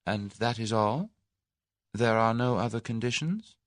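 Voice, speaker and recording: A man's voice: lowish voice, dry recording, pitched above the performer's own voice, close to an everyday voice. Man voicing a pert young woman. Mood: wary, pert. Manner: brisk, wry, lightly raised voice. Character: spirited, wary young Englishwoman. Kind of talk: audiobook character reading.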